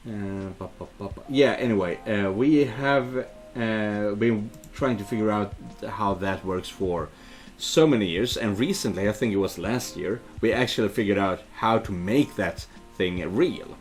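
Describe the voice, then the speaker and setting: A man's voice: on the low side, measured pace, faint music behind. A man giving casual let's play commentary, hesitant and thinking aloud as he reads game text. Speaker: video game let's player and dubber.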